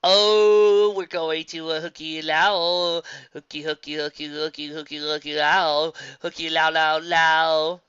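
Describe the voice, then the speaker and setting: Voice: mid-range voice, sing-song, normal speed, sharp tone, dry recording, voice pushed up. An amateur reader voicing a whiny, hyper young man who screeches, babbles and breaks into song. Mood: manic. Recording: dull lo-fi dry recording.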